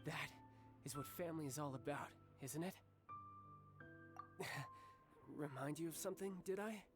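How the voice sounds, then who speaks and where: Male VO: playful, self-assured young warrior, slightly high voice; clear score behind. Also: normal speed, close to an everyday voice. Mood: wistful. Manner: smooth, teasing, self-assured. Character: charming young male fighter, playful and cocky. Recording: game audio with music behind.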